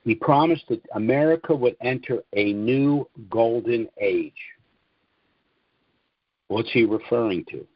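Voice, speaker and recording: A man's voice: mid-range voice, measured pace, pauses often, no room sound, highs cut off. A man hosting a conference call, lecturing in an opinionated way and posing rhetorical questions. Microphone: phone or call line.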